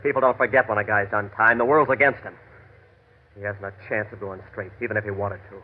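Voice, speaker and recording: A man's voice: on the low side, fairly even intonation, fast, natural voice. An actor playing a tough, defensive American gunman in classic radio drama style, talking in argumentative, menacing slang. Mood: bitter, argumentative. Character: tough, defensive gunman ex-convict posing as a friend. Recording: thin, tinny vintage radio recording.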